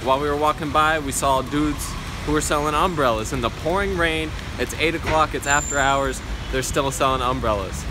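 A man's voice: fairly high pitch, moderate pace, hardly any pauses. A young man chatting upbeat to his viewers while he films himself on a phone held at arm's length, walking around a city. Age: young adult. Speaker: vlogger. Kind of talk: casual travel vlog narration. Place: streets and shops of a city, often outdoors in rain. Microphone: phone camera at arm's length. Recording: phone audio with loud street noise.

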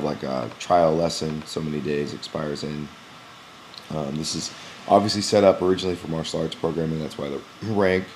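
A young man who runs a gym, walking through a software screen recording in a casual, explanatory way with frequent "um" pauses, recorded on a webcam at his desk. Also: very deep voice, a little hiss or hum, low-quality recording. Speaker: gym owner demonstrating software. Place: small indoor room at a computer. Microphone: webcam in front of the face.

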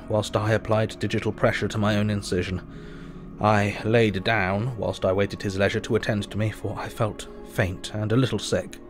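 Low-voiced, solemn doctor played by a man. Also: lightly acted. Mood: weary. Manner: measured, literary, solemn. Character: earnest, anxious male asylum doctor reading his diary. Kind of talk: first-person dramatic audio narration.

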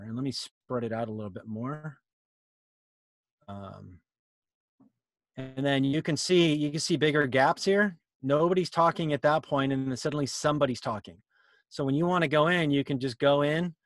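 A man in his fifties with a mid-pitched voice leads an online how-to session. He talks casually, thinking aloud as he explains. The sound is dry, from a video call in a small room. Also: no echo. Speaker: workshop host.

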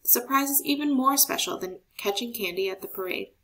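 A woman voicing a patient mother who answers her young son in a measured, reassuring way in a children's storybook. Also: fairly high pitch, bright, forceful tone, poor audio. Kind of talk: children's storybook read-aloud.

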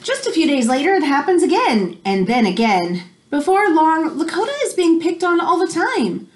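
A woman in her thirties reads to children in a fairly high, very animated voice. Her delivery is teacherly, moving between storytelling and explanatory asides. It is a home recording in a small room, with some background noise. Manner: expressive, teacherly, pausing to explain words. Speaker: children's picture book reader.

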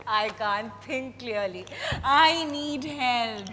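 Actress as troubled Indian daughter, stage drama, high voice, reverberant hall with clear background noise. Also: female voice, lively intonation, very slow, bright, forceful tone, full character voice. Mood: distressed. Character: troubled, idealistic daughter, wounded and accusing. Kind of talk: dramatized stage reading of a play. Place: large hotel ballroom stage. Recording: reverberant hall, noisy room ambience.

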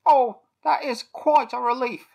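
A woman voicing a chatty male toucan with a squawky, excitable voice that repeats its phrases. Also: sits high, a little slow, creaky, voice pushed up, exaggerated voice. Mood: relieved.

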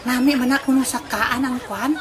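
Woman doing high voiced clueless country bumpkin, visayan accent, comedy audio drama, noisy audio. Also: steady tone, moderate pace, close to an everyday voice. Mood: naive, curious. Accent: Western Visayan Philippine. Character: naive clumsy country bumpkin buddy. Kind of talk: comic audio drama acting.

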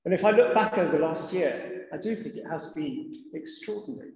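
Vicar preaching in an echoing church. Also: male voice, fairly high pitch, expressive, a little fast, creaky, darkish tone. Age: middle-aged. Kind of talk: sermon to a congregation. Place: large church interior, indoors. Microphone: lectern gooseneck microphone. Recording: echoey church hall with long reverb.

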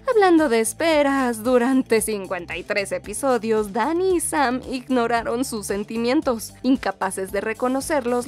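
A woman with a Latin American Spanish accent reading a scripted countdown narration. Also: a little high. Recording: music behind the voice. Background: background music.

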